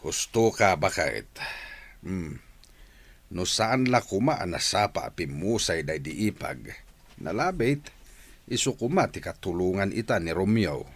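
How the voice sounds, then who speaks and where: A man acting as a concerned middle-aged father in a radio drama, with an expressive, advising manner. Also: sits low, unhurried, heavily acted. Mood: thoughtful.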